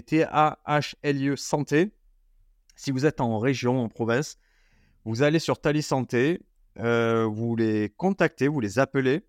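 A man hosting a podcast in a loose, chatty way, with many fillers and restarts. His voice sits at an ordinary middle pitch. The sound is dry and close, with no room echo. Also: darkish tone. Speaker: podcast host. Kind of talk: casual podcast chat.

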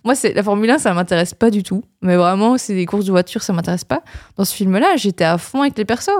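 A woman's voice: mid-range voice, fast, a little mellow, no echo, studio quality. A woman with a Belgian French accent, casually reviewing films as a podcast co-host, hesitant with many fillers and restarts. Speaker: film critic co-host. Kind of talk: casual film review chat.

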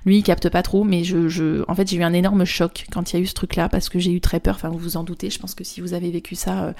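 A woman's voice: lowish voice, flat delivery, fast talker, vocal fry, dark tone. A young woman from France telling a personal story in a casual, rambling way, with slang, fillers and restarts. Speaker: spiritual therapist and vlogger.

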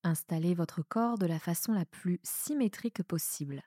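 A woman with a soft voice guiding a relaxation meditation in a calm, soothing manner with measured pauses. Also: fairly low pitch, slightly rough, no room sound, clean studio sound. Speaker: yoga nidra guide. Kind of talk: guided meditation, relaxation instruction.

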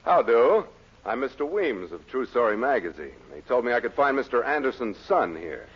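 An actor playing a businesslike, skeptical magazine representative in a 1940s radio sitcom, dryly questioning and incredulous. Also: male voice, medium pitch, very animated, normal speed. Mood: skeptical, puzzled. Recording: muffled old radio recording, faint noise.

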